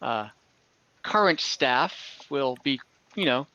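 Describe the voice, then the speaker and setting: A man improvising narration as game master of an online tabletop game, haltingly searching for words. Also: mid-range voice, expressive, sharp tone, smooth, dry recording, poor audio.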